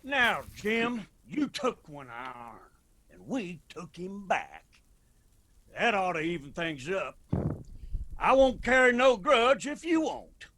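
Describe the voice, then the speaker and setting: An actor playing a proud, wry Appalachian clan patriarch who gives calm orders in a radio drama. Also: male voice, high voice, takes it slowly, a little hiss or hum, full character voice. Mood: wry, commanding.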